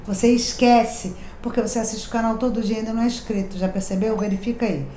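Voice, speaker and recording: A woman's voice: fairly high pitch, fairly even intonation, quick pace, warm tone. Brazilian woman in her 30s, tarot host, chatty and confiding; roomy echo, light hiss. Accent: Rio de Janeiro Portuguese. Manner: chatty, affectionate, confiding, emphatic repetitions. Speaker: tarot reader and channel host. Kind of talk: daily tarot reading to camera. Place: decorated home room, indoors. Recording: echoey room, faint background hiss.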